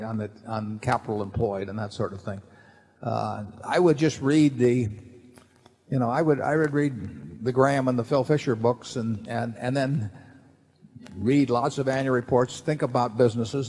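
A man's voice: mid-range voice, a little mellow. An older man seated at a table on a large stage, answering shareholders' questions off the cuff in a folksy, rambling way with many restarts. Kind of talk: unscripted answer at a shareholder meeting. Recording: long hall reverb from the PA.

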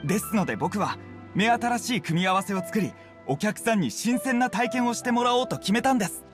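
A man's voice: high-pitched, animated, brisk, music in the background. A man playing a young, deferential street food vendor in an anime-style game, polite and earnest. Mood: eager, proud. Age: young adult. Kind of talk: anime-style video game dialogue acting.

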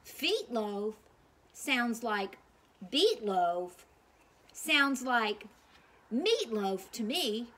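Woman acting little boy, clever, earnest, storybook, deliberate slow phrasing, raised pitch. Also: big pitch swings, a bit bright, heavily acted. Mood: thoughtful. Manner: careful sounding-out of words.

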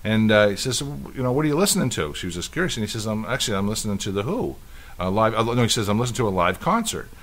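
A man's voice: lowish voice, sing-song, rapid-fire, a bit bright. A man in his fifties co-hosting a casual podcast chat, telling long rambling stories with many restarts. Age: 50s. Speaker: podcast co-host.

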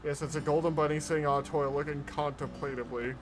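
A man's voice: a little high, fairly even intonation, fast. A man doing casual streamer commentary as he reacts to a video, close on the mic. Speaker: streamer, VTuber host. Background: trailer music and sounds.

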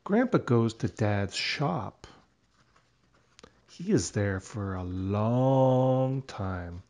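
Slow, animated male storybook narrator. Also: on the low side. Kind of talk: children's read-aloud narration.

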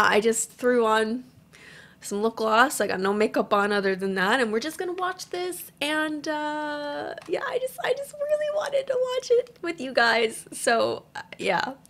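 A young woman giving casual, gushing and expressive reaction commentary as a gaming video host, recorded on a studio mic in a small home room. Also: fairly high pitch, measured pace. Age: young adult. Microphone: boom-arm studio mic at desk.

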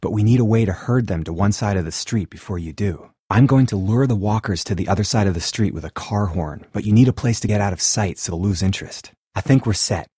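Earnest awkward zombie-game survivor, low-pitched, rough husky voice, hesitant, wry, matter-of-fact, naturalistic delivery. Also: male voice, quick pace, hardly any pauses, dark tone, no echo, studio quality. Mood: earnest, planning. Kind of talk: naturalistic video game voice acting.

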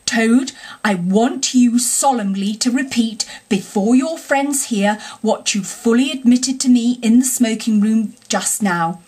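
A woman's voice: a little high, unhurried, darkish tone, husky. A woman voicing a stern, fatherly male badger in a storybook, with a grave, firm, oratorical manner. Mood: gratified, solemn.

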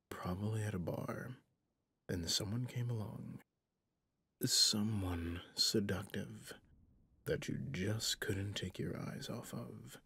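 A man's voice: lowish voice, no echo, exaggerated voice. A man playing a stern, menacing, centuries-old vampire hunter in an ASMR roleplay, commanding and often dropping to a whisper. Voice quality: husky, breathy, gravelly, often dropping to a whisper. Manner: slow, commanding, interrogating.

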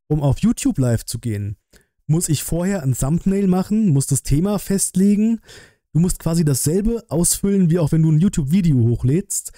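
Male livestream host, 30s, very mellow dark tone, dry close-mic sound, colloquial and blunt, thinking out loud. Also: fairly high pitch, no room sound. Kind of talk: casual livestream chat and trailer reaction. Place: small decorated streaming room indoors. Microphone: close desk microphone, headset worn.

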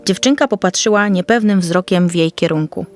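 A woman narrates a scripted children's story as storyteller. Her voice sits slightly low, with soft music running behind it. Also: brisk.

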